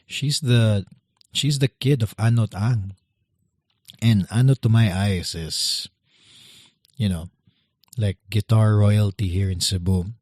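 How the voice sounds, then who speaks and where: A man, hosting a podcast while losing his voice, speaking quietly and hesitantly. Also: on the low side, slow pace, pauses often, dry recording, studio quality. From Philippines. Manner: quiet, hesitant, many restarts. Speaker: podcast host. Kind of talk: casual solo podcast intro.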